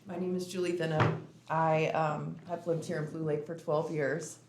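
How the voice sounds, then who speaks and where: A woman with a raspy voice giving public comment at a city commission meeting. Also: sits low, level tone, moderate pace, very noisy. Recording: echoey meeting room.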